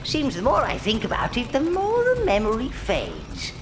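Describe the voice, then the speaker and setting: A man voicing a wizened, gleefully evil old male minion who advises his dark lord in a video game cutscene, fawning and mischievous. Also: extremely high pitch, huge pitch swings, normal speed, heavily acted. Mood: scheming. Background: loud game music.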